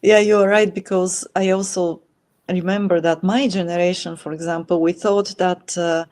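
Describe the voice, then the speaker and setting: A middle-aged woman, interviewing in a relaxed chat and pausing often to think with fillers. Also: on the low side. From Bulgaria. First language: Bulgarian. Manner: thoughtful, many filler pauses. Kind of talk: casual conversation.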